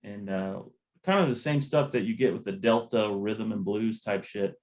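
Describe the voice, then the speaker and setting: A man's voice: fairly low pitch, normal speed, darkish tone. A man hosting a podcast, chatting casually about music in a laid-back, rambling, slangy way. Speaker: podcast host.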